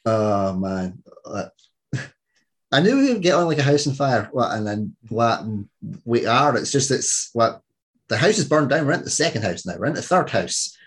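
A man's voice: mid-range voice, sing-song, moderate pace, husky. A man hosting a podcast in casual, enthusiastic chat, joking and swearing freely. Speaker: podcast host.

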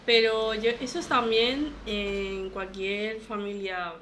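A woman's voice: medium pitch, fairly even intonation, slow, a bit bright, a little hiss or hum. A young woman from talks casually as a podcast guest, explaining things informally. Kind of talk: casual personal interview.

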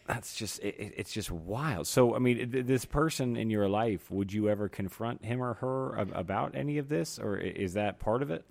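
Low-voiced casual man asking questions. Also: normal speed, vocal fry, few pauses, a little mellow. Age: 30s. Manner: easygoing, asks follow-up questions. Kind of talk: casual chat.

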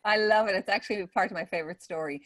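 A middle-aged woman with an Irish accent, a podcast guest telling stories in a chatty, animated way. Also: mid-range voice, very fast, no echo. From Ireland. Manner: chatty, animated storyteller with many fillers. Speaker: podcast guest, salon manager. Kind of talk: casual interview storytelling.

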